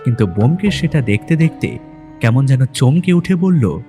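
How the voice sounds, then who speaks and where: Lively male story narrator over music. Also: medium pitch, moderate pace. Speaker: first-person narrator of detective story. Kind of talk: dramatic scripted audio-story narration. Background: background music.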